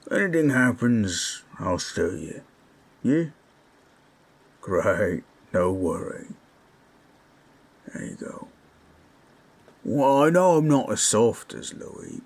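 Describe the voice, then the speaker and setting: A low-voiced, husky orc played by a man speaks at an unhurried pace. He is gruff but kindly, a little awkward and shy, with halting, folksy phrasing suited to an intimate fantasy audio drama. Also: heavily acted. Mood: tender, protective. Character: gruff, kindly, bashful male orc companion.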